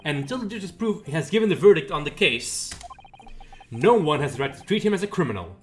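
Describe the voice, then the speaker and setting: A man's voice: a little high, big pitch swings, a little fast, slight background noise. A man voicing a confident young male defense lawyer in a visual novel game, formal, firm and assured.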